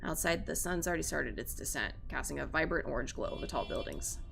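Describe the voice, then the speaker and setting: A woman's voice: sits low, expressive, fast talker, creaky, fairly bright tone. A woman streamer reading a visual novel aloud with theatrical, casually sweary live commentary. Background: game music.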